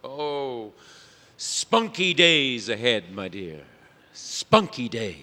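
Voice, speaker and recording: An actor playing a bumbling, tender, apologetic wizard with a hesitant, stammering delivery in comic radio theatre. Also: male voice, medium pitch, very animated, drawn-out words, pushed and bright, exaggerated voice. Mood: dreamy. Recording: hall reverb, music behind, live stage.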